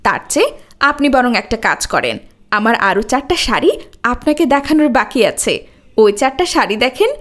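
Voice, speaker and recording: A woman's voice: fairly high pitch, lively intonation, moderate pace, natural voice. A woman voicing a vain, envious married neighbour in a cartoon. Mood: dismissive, boastful. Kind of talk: Bangla cartoon dubbing.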